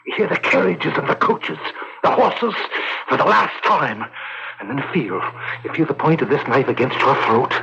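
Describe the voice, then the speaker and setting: An actor playing a tormented, possessed husband in an intense, halting 1970s radio drama style, with a husky voice. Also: male voice, on the high side, lively intonation, noisy background. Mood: menacing. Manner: intense, halting, repeating phrases. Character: tormented husband convinced a murderous spirit possesses him. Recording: dull vintage radio recording.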